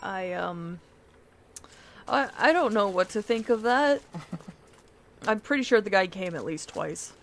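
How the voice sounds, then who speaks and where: A woman's voice: mid-range voice, expressive, slow, long pauses, a bit bright. A woman chatting casually while streaming a video game. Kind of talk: casual livestream gaming chat. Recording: faint music behind the voice.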